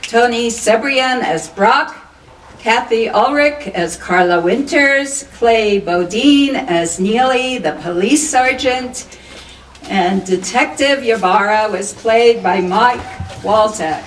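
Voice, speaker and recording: A woman's voice: a little high, slow pace. A woman announcer reading scripted radio credits in an old-time 1940s broadcast manner. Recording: echoey room, slightly distant microphone. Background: faint music.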